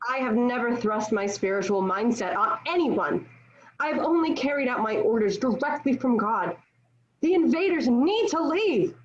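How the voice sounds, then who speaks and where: Woman playing proud sharp-tongued young heroine, high-pitched, declamatory, defiant, dramatic table read, muffled recording. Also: animated, moderate pace, lightly acted. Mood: firm, righteous. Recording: dull, lo-fi video call audio.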